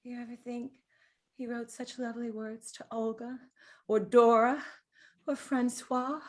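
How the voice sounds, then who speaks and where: An actress playing a gentle, girlish, chatty dead woman of thirty-four in a confiding, nostalgic stage monologue. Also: a little high, level tone, unhurried. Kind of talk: dramatic monologue, stage-style acting.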